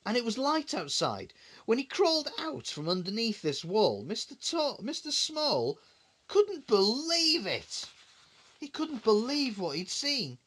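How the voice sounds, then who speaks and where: A man's voice: very high-pitched, huge pitch swings, unhurried, fairly bright tone. A man reading a bedtime story to his young child in a conversational way, with questioning asides and dramatic repetition.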